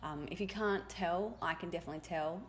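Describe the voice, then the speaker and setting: A young woman art youtuber talking casually over her drawing, raspy from a cold and rambling with fillers. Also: fairly low pitch, a little fast, a little hiss or hum. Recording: voice-over with loud music behind.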